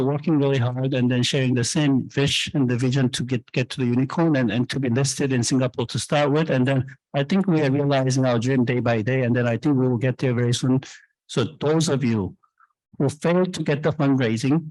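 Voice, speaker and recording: A man's voice: medium pitch, darkish tone, no echo, rough recording. A man in his fifties from South Korea, a startup chief answering audience questions on stage with candid, rambling talk full of fillers and restarts. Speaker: startup founder and CEO speaking at an event.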